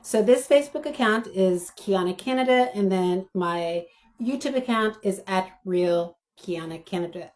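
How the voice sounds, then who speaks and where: A hoarse woman hosting her own commentary channel, speaking to her viewers in a halting, thoughtful way with many pauses and restarts. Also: medium pitch. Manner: addresses viewers directly. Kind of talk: spoken video commentary.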